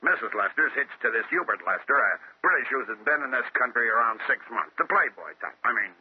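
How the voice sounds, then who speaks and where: A man playing a gruff, slangy American police sergeant in an old-time radio drama, blunt and raspy. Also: mid-range voice, expressive, brisk. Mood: matter-of-fact. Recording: tinny old radio recording.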